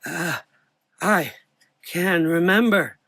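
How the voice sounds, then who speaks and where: An elderly doctor in a gothic audio drama, haunted and confused. The thin, weak voice sits high and stumbles over repeated phrases. It is recorded close and dry. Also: male voice, vocal fry, fairly bright tone, no echo, heavily acted. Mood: weary, confused. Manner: halting, repeating phrases, exclaiming.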